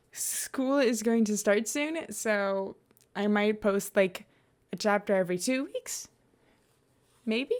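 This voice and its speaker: A young woman chatting casually to listeners as a host, hesitant, often trailing off and correcting herself. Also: medium pitch. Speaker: podfic reader and host. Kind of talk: casual rambling chat to listeners.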